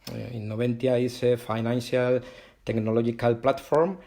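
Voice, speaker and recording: A man's voice: medium pitch, level tone, normal speed, dark tone. A middle-aged man from Spain with a Spanish accent, English not his first language, giving a virtual company talk with many "uh" and "okay?" fillers and restarts. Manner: hesitant, frequent "uh" and "okay?" tags, self-correcting. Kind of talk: live online presentation.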